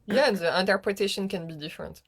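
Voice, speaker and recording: A woman's voice: medium pitch, fast. A young woman from France with a French accent, chatting casually as a guest and laughing often mid-sentence. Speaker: guest singer. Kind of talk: casual chat.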